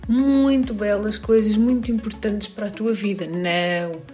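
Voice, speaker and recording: A woman reciting in a measured, deliberate, declamatory style, like performed poetry and monologue. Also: medium pitch, fairly even intonation, mellow, soft background music, close to an everyday voice. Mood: inviting. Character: gentle reciter of poems and feelings saleswoman.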